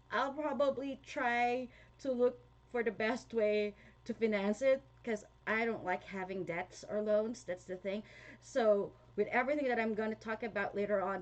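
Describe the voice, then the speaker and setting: A young woman with a Filipino accent, chatting casually to her viewers, with frequent laughs and fillers. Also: on the high side. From Philippines. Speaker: vlogger. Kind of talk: casual talk to viewers, reading comments.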